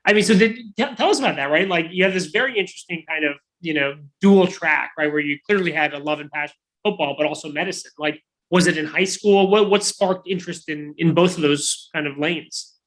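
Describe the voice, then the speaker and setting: The quick, fairly high-voiced man you would hear hosting a chatty online interview show over morning coffee. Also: fairly bright tone, poor audio.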